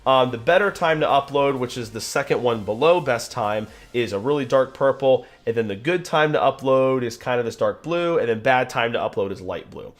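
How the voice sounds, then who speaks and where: A man presenting a software tutorial, upbeat and chatty, his voice a little nasal from being sick. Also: medium pitch, expressive, normal speed, few pauses. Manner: upbeat, chatty, casual fillers. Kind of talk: screen-recorded software tutorial.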